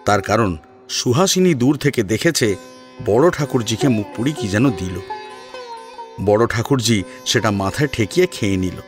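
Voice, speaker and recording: Expressive man narrating a story. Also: on the low side, moderate pace, long pauses. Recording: soft music under narration. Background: sitar music.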